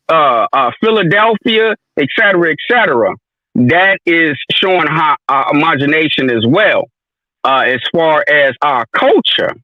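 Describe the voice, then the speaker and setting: A man's voice: high-pitched, no echo. A man speaking up in an online audio room, lecturing at length with many fillers and restarts. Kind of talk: audio chat room discussion. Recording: tinny phone-like audio.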